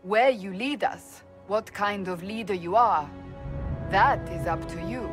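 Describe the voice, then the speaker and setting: A woman playing a stern, earnest warrior advisor in a fantasy game, speaking solemnly and with deliberate emphasis. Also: mid-range voice, natural voice. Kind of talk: dramatic fantasy game dialogue. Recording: game dialogue over faint music.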